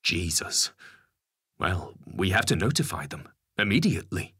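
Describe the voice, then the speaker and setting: A man acts out an anguished Prime Minister in a story, a man of conscience. The voice is low, rough-edged and very mobile in pitch, full of indignation. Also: sing-song, unhurried, sharp tone, dry recording, studio quality, heavily acted. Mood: appalled. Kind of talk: acted dialogue in a dramatic story reading.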